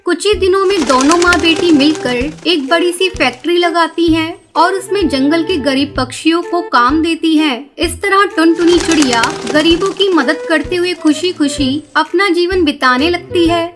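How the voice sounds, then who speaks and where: A woman's voice: very high-pitched, normal speed, hardly any pauses. A woman narrating a scripted children's story as a cartoon narrator.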